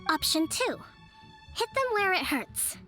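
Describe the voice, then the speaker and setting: Kokomi, a calm young strategist. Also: female voice, very high voice, full character voice. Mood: strategic. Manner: measured, formal and articulate. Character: young woman leader and strategist, calm and composed. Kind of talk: game voice acting. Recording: studio voice with soft game music.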